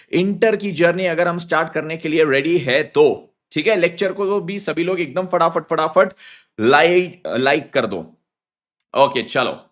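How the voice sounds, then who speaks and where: A man teaching accounting live over a phone stream, his voice high-pitched and hoarse from a sore throat. He fires up his students with slangy hype and keeps dropping English phrases into his Hindi. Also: fairly bright tone. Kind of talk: energetic live online class. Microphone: phone on mobile data, live stream.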